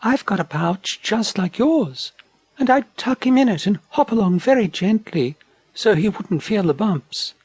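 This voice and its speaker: A gentle storybook wallaby lady, put on by a male narrator, high and breathy, with a lilting, very varied tune. She sounds soft, sweet and eager to please. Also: animated, measured pace, dry recording, heavily acted, rough recording. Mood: eager, tender.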